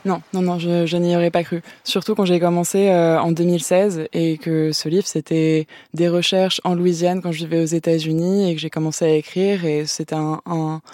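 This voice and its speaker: Hesitant young woman novelist, soft-spoken. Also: lowish voice, little pitch movement, moderate pace, few pauses, no echo, studio quality. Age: 30s. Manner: hesitant, many "euh" and restarts, soft-spoken. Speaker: novelist guest. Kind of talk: radio interview answers.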